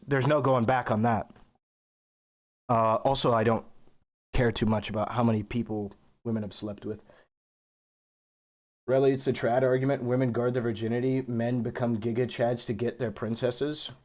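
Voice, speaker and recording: A man hosting a live stream debate, talking bluntly and casually, swearing freely and coughing now and then. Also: mid-range voice.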